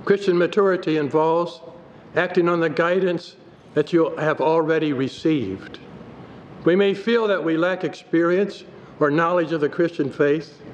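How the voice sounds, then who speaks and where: An older male pastor reads a sermon from his notes in a steady, measured way, his voice a little high for a man. The sound is dull and slightly muffled, with a long hall reverb and faint noise from the sanctuary around him. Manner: measured, reads scripture aloud from the page. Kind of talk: sermon read from notes at a pulpit. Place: church sanctuary.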